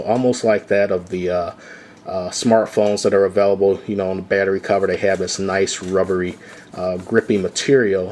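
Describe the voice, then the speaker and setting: A man with a slightly low voice talks through a gadget review in an easy, unscripted way. He fills his pauses with frequent "uh"s. A faint ambient hum sits behind him. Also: darkish tone. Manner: unscripted, many "uh" fillers. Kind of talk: casual product walkthrough.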